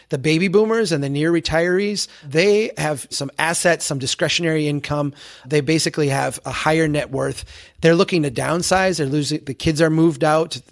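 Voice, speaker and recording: An American man in his forties explaining his views earnestly and with conviction as an interview guest. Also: fairly high pitch. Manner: earnest, opinionated, uses rhetorical contrasts. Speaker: podcast guest, advocate for towns.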